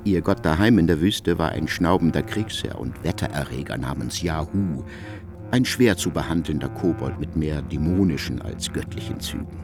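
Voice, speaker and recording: A man playing an erudite narrator in a literary radio drama, speaking eloquently with deliberate phrasing. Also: very deep voice, animated, music in the background. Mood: erudite, expressive. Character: erudite male narrator of a biblical epic.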